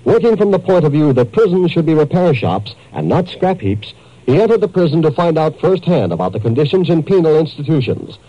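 A man's voice: a little high, very animated, brisk, no echo. An American man working as a network announcer, reading scripted promos in 1950s radio style. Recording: dull, dry vintage radio recording.

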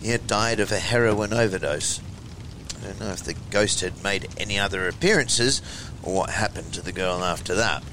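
Guy with a lowish voice reading spooky stuff like a horror host, theatrical and deliberate, rumbly noise behind him. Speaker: host and reader of a horror anthology show. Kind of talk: expressive storytelling and letter reading.

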